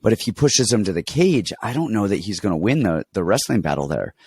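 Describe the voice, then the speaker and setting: A man's voice: fairly low pitch, very animated, fairly quick, darkish tone, breathy edge. A man co-hosting a casual fight betting chat, congested and rambling, with many restarts as he tells stories. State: congested, getting over an illness. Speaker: podcast co-host and fight coach.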